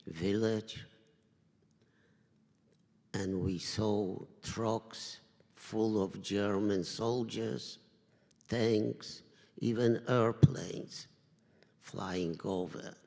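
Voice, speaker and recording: An older man from Poland, giving a survivor's testimony in slow, measured, halting phrases. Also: fairly low pitch, steady tone, vocal fry, darkish tone. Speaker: Holocaust survivor speaker. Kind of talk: personal testimony talk. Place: synagogue hall. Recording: reverberant hall.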